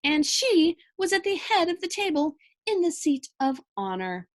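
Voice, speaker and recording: A woman reading a children's book aloud in an animated, deliberate storybook delivery. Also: very high-pitched.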